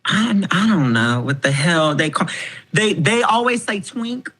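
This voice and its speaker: A man in his twenties, a podcast guest talking casually, frank and animated, swearing freely. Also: sits high, a little slow. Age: 20s.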